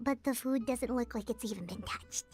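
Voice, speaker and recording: A woman voicing a small, childlike, anxious companion in a video game, with a squeaky and hesitant delivery. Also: fast talker, darkish tone, breathy edge, soft background music. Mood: hesitant, puzzled.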